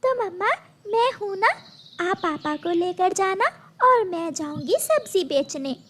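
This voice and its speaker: A small, plucky daughter bird in a children's cartoon, put on by a woman. The voice is very high and animated, full of eagerness to help. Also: measured pace, a little hiss or hum, heavily acted. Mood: plucky, reassuring.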